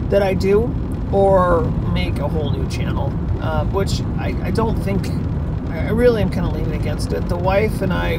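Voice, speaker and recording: A man in his thirties chatting casually on a vlog while driving, rambling with lots of ums and restarts, filmed by a dashboard camera inside his car. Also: on the high side. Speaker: vlogger. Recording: loud road and engine noise. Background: car road noise.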